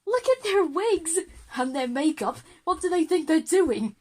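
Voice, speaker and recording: A woman voicing excitable, curious schoolchildren in an audio drama, eager and exclaiming. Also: extremely high pitch, a little slow, pitched above the performer's own voice. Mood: excited, cheeky.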